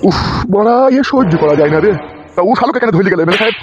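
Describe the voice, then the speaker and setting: A man plays an exasperated older father-in-law in a comedy cartoon. He grumbles and questions very quickly. The audio is dull, with loud music behind. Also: sits high, animated. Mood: alarmed, exasperated. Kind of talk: amateur comedy cartoon dub.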